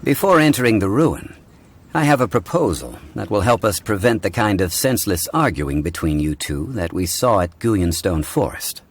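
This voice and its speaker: An actor playing a composed, learned gentleman of ancient wisdom in a video game, speaking in a measured, formal, didactic way. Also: on the low side, lively intonation. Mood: composed, diplomatic.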